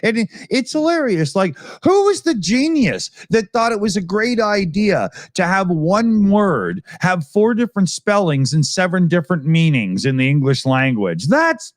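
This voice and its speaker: A man's voice: sits high, sing-song, moderate pace, hardly any pauses, no room sound, clean studio sound. A Canadian man co-hosting a podcast, chatting casually, joking and swearing often. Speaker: podcast co-host. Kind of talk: casual chat.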